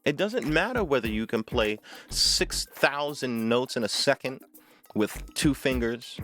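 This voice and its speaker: A man's voice: mid-range voice, a bit bright. A man giving an informal music tutorial, talking emphatically, repeating phrases and often checking in with a short questioning tag. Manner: emphatic, repeats phrases, seeks agreement with "right?". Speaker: music tutorial host, talkbox player. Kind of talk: informal instructional talk to camera.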